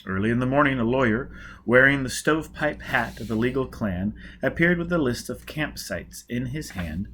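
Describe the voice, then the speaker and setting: A man reading a novel aloud as an audiobook, with emphatic, deliberate dramatic narration. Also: medium pitch, animated, a bit bright, slight background noise. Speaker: audiobook reader.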